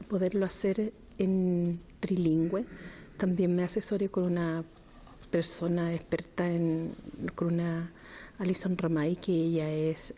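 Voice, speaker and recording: A middle-aged woman from Chile, a poet answering interview questions slowly and thoughtfully, with frequent hesitations. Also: fairly low pitch, dark tone, a little hiss or hum.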